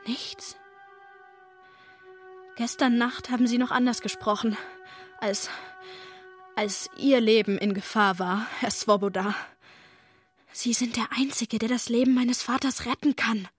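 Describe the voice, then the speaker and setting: A woman's voice: a little high, fairly even intonation, unhurried, pauses often, faint music behind, natural voice. Actress as an anguished, insistent young woman. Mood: desperate, pressing. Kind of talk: German radio play acting.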